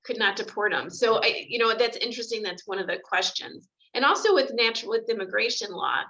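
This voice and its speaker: A woman immigration attorney chats over a video call, loose and conversational with plenty of fillers. Her voice is bright and forward. The call audio is a little dull and lo-fi. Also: on the high side, lively intonation, fairly quick. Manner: chatty, many fillers. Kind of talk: video call panel discussion.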